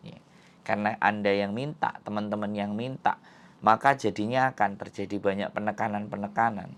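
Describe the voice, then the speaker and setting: A man, hosting an informal advice talk and explaining his points with emphatic repetition. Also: on the low side. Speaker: advice show host. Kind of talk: informal advice commentary to followers.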